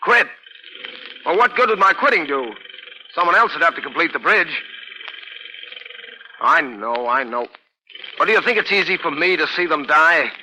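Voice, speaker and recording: An actor in a 1940s radio drama playing an earnest, harried bridge-building boss with an emphatic delivery. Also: male voice, fairly high pitch, expressive, moderate pace, pauses often, pushed and bright, a little hiss or hum, rough recording. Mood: weary frustration. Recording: old 1940s radio recording.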